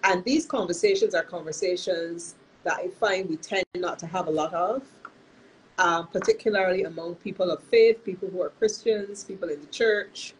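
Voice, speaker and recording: A woman hosting a live-streamed talk as a counselor, sounding nasal from illness and speaking in an earnest, measured way with frequent restarts. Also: on the low side, a little hiss or hum, low-quality recording. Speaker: host and counselor.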